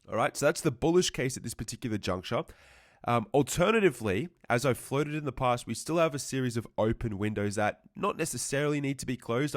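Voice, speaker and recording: A man's voice: mid-range voice, no room sound, clean studio sound. A man teaching stock chart analysis, a little congested and fluey, explaining at length and often rephrasing himself with "right" and "or at least". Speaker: trading educator. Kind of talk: market analysis commentary.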